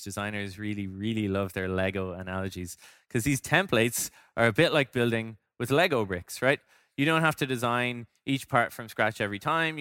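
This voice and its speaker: A man's voice: mid-range voice, bright, forceful tone, clear, resonant tone, dry recording, studio quality. A man giving a prepared presentation as a design director, explaining things with conversational asides. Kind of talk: prepared conference-style presentation.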